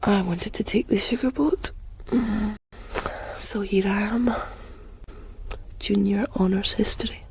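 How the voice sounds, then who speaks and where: A playful young West of Scotland student, soft and gravelly, over noticeable hiss. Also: female voice, mid-range voice, fairly even intonation, takes it slowly, long pauses. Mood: teasing. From Greenock, Scotland. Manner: teasing, soft and hushed. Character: teasing young Scottish woman student.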